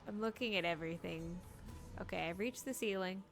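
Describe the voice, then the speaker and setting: A woman doing casual, expressive live gameplay commentary, talking to herself and to the game as she plays. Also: fairly low pitch, brisk. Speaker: game streamer.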